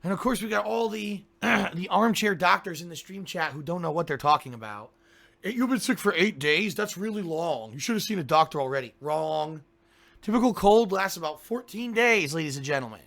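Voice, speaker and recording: A sick, hoarse man with a gravelly, sharp-edged voice, talking loosely to his viewers before a game stream. He grumbles and rants, sounds stuffed up, and keeps stopping to clear his throat. His voice sits a little high for a man. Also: expressive, normal speed. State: sick with a cold, hoarse and congested. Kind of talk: casual pre-stream chat to viewers.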